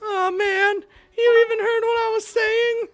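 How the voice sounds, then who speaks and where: Man putting on a squeaky, flustered fantasy schoolgirl. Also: level tone, measured pace, dry recording, soft background music. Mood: embarrassed. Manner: exclaiming, stammering. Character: flustered, irritable young female fantasy magic student.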